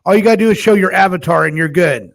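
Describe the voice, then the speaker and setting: A man hosting a live stream, chatting loosely and loudly, coaxing his guests and repeating himself. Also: high-pitched, fast talker, vocal fry. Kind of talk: casual live stream chat.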